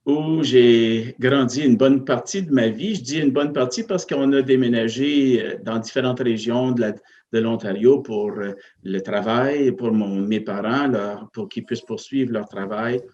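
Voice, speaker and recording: A man, a parish priest, speaking slowly and thoughtfully as he recalls his family and faith. He hesitates often and restarts his sentences, with a voice at an ordinary pitch. The sound is a little dull, like an older TV broadcast. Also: a little mellow. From Northern Ontario, Canada. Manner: hesitant, many fillers and restarts, reflective. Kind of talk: interview, personal storytelling.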